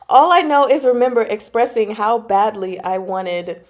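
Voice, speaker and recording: A woman chatting casually and informally to her livestream viewers, giggly and animated as she talks. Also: on the high side, takes it slowly. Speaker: host of a book club channel and amateur audiobook narrator. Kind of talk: casual livestream chat, reading out and answering comments.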